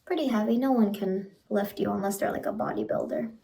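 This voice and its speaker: A woman voicing a sinister, playful immortal clown in a horror ASMR roleplay, murmuring softly and coaxingly to the listener and often slipping into whispers. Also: medium pitch, brisk, creaky, a little hiss or hum, natural voice. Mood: quiet menace.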